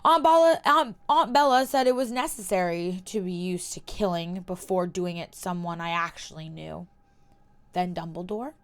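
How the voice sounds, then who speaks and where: A woman voicing a cold, taunting young male wizard in a story reading, speaking with quiet, measured menace. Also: fairly low pitch, smooth, lightly acted. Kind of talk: reading a fan story aloud.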